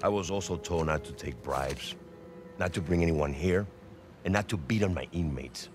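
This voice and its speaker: Man acting deep, menacing Latin American warden. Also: normal speed, over music, natural voice. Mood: smug. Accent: Latin American Spanish. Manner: menacing, sardonic. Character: corrupt menacing Latin American prison boss, shrewd and greedy. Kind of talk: naturalistic video game cutscene acting.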